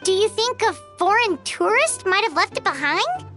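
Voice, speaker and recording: A piping, very high little fairy voice, excitable and chirpy, swinging up and down in pitch. She reacts with wide-eyed enthusiasm, like a game companion. Game music sits clearly underneath. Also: moderate pace. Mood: curious, excited. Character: tiny squeaky female floating companion sprite. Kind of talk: video game voice acting. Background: game music in the background.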